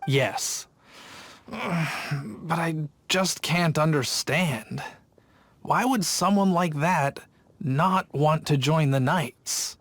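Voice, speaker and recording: A man's voice: on the high side, extremely slow, a bit bright, heavily acted. An actor playing an earnest young male city gate guard who tells a story in an eager, rambling way, in game cutscene voice acting. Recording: game audio with faint music.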